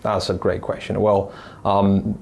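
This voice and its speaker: Deep, mellow-voiced man from Italy. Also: monotone, vocal fry. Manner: thoughtful, halting, many restarts and fillers. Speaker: podcast guest. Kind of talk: casual interview storytelling.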